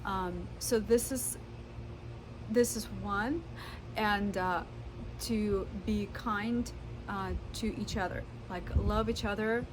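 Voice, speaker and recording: A woman in her thirties with a Russian accent speaks in a casual vlog. She is earnest and halting, pausing often on fillers. Her mid-range voice sits over clear background noise and passing vehicles. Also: medium pitch, steady tone. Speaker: vlogger. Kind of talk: casual talk to camera.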